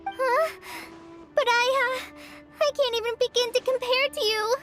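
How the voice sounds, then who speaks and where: A bright, eager young apprentice. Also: female voice, extremely high pitch, fairly even intonation, measured pace. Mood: flustered. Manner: earnest, eager and a little unsure. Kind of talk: game dialogue voice acting. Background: game music.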